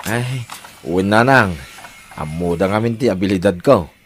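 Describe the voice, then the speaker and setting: A man's voice: lowish voice, normal speed, a little hiss or hum, natural voice. A man playing a domineering, grumbling, cruel husband in an Ilocano audio drama, scornful in manner.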